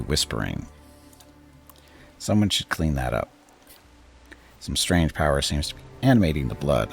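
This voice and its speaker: A man streaming a game, reading its text aloud with relaxed, wry asides. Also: very deep voice, creaky. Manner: relaxed, wry asides between read passages.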